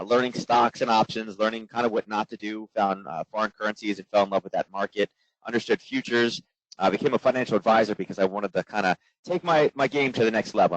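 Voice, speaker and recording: A man in his forties, hoarse from coaching, giving a trading webinar in a quick, casual way full of fillers. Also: fairly low pitch, no echo. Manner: quick, filler-heavy, conversational. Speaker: trading instructor. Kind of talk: live webinar presentation. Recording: dull, dry lo-fi webinar audio.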